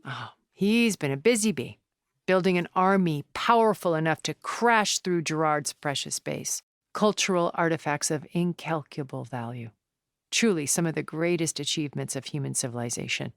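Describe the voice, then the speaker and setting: An actress playing a cultured, calculating older woman in a video game, speaking in a measured, refined and deliberate way. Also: sits low, creaky, dry recording, clean studio sound. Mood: calculating, conspiratorial. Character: cultured, calculating, aloof wealthy older woman, wistful schemer. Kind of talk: scripted video game dialogue.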